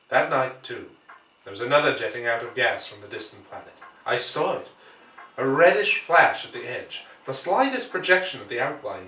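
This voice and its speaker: A man narrates a classic story in a measured, expressive way, with deliberate pauses for drama. His medium-pitched voice sits back from the microphone in an echoey room. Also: far from the mic. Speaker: audiobook reader. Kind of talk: dramatic literary narration, reading aloud.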